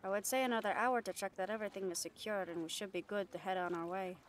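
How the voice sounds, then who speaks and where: A woman's voice: mid-range voice, fairly even intonation, fast, a little hiss or hum, natural voice. A woman playing a brash, cheeky catgirl pirate in an audio roleplay, bantering with salty sarcasm. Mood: wry, sarcastic. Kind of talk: roleplay audio drama acting.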